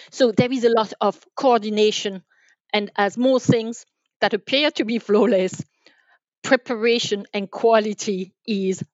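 A woman giving explanatory interview answers as a podcast guest, halting and repeating words as she goes. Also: medium pitch, darkish tone, no echo, muffled recording. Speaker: podcast guest, enterprise sales manager.

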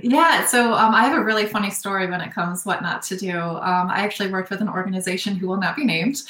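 A woman's voice: mid-range voice, fast, a bit bright, reverberant. A young adult woman talking as a podcast guest, explaining her work thoughtfully with frequent fillers. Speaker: podcast guest, sales operations analyst. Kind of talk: casual interview talk.

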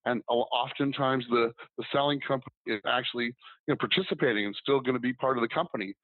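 A man's voice: mid-range voice, fast, dry recording. A man who is a guest on a business podcast, rambling casually with lots of ums and restarts. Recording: dry tinny phone-like line.

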